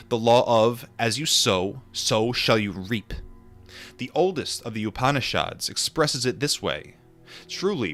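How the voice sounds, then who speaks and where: A man reading a book aloud and adding informal asides, in a measured, deliberate manner. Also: medium pitch, a bit bright. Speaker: audiobook reader and commentator.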